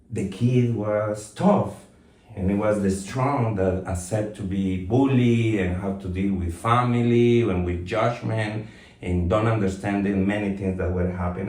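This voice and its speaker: A man in his fifties from Colombia speaks in a very low voice, thoughtful and unhurried, often pausing on fillers and restarting his sentences. He sits a little back from the mic, and the room around him sounds echoey. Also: steady tone, darkish tone. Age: 50s. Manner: reflective, with frequent fillers and restarts. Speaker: guest. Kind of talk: casual interview. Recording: roomy echo, mic at some distance.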